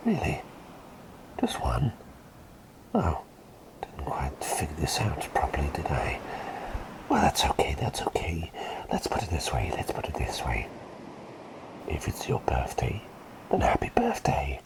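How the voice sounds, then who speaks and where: Slow whispering, doting plague doctor played by a man. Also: a bass voice, huge pitch swings, full character voice, rough recording. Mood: whimsical, welcoming. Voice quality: soft, breathy whisper. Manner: halting, repeats phrases, reassuring. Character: gentle, doting, whimsical male plague doctor caretaker. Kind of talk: whispered ASMR roleplay.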